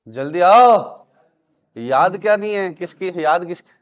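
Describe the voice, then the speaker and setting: A man's voice: high voice, expressive, measured pace. A male commerce teacher, lecturing in a classroom and quizzing his students with repeated questions. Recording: dull lo-fi classroom recording.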